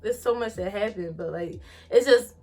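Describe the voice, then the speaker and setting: A woman in her late twenties from Philadelphia with a Philly accent, hosting a casual chat in a blunt, profane, animated way and laughing often. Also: mid-range voice, normal speed. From Philadelphia, USA. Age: 20s. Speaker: podcast host.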